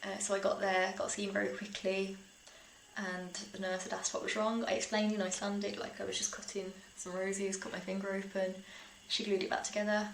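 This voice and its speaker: A young woman with a British accent chats informally, like a vlogger sharing personal experiences. Her pitch sits in the middle of the female range. The room sounds echoey, with faint noise behind her. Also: flat delivery, fairly quick, vocal fry. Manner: chatty, informal, with small laughs through sentences. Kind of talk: casual personal storytelling to camera. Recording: roomy echo, faint background noise.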